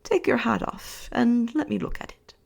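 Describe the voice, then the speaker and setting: A woman's voice: fairly high pitch, normal speed, vocal fry, darkish tone, husky, voice pushed up, full character voice. A woman voicing a large, cold-eyed woman who buys hair, speaking curtly and all business. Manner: curt and businesslike.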